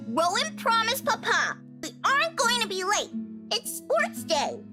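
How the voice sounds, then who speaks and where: A very high, small voice of a little girl in a video game. She is curious and blunt, stating things with childlike frankness. Loud music plays behind her. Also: very animated, measured pace, exaggerated voice. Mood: frank, curious. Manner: frank, childlike, matter-of-fact. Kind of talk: video game character voice acting.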